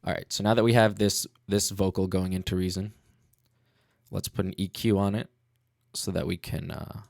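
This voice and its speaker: A man's voice: sits low, level tone, normal speed, gravelly, a little mellow, dry recording. A man hosting a casual livestream tutorial as a music producer, talking in a laid-back, slangy way into a studio microphone.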